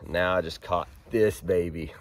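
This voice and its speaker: A man in his thirties with a hoarse, froggy voice from a head cold, chatting casually as he fishes from a kayak on a creek, filmed by a pole-mounted camera. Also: deep voice, a little slow, slight background noise. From Arkansas. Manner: chatty and upbeat, excited while reeling in fish. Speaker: fishing vlogger. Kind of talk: casual outdoor vlog. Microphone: action camera on a pole mount.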